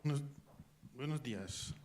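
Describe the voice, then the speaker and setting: A man from Italy speaking Spanish with an Italian accent, asking a halting question at a press conference as a journalist. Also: mid-range voice, expressive, darkish tone. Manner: halting, searching for words, clears throat.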